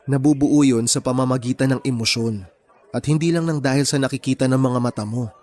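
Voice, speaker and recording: Actor as gentle, principled young man, radio drama. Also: medium pitch, fairly even intonation, fairly quick, close to an everyday voice. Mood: gentle, earnest. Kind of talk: Tagalog radio romance drama acting.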